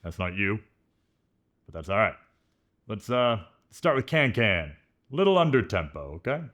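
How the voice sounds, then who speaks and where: A man's voice: on the low side, sing-song, measured pace, fairly bright tone. A man playing a menacing, domineering band director in a parody sketch, cutting, condescending and explosive. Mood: cold condescension. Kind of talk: parody sketch of an intense music drama.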